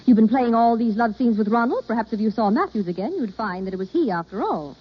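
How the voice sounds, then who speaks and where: Lively husky, knowing girlfriend. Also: fairly high pitch, fast. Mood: teasing, knowing. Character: shrewd, probing, teasing best girlfriend of a young woman. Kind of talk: 1930s-40s radio acting. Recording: muffled vintage radio broadcast.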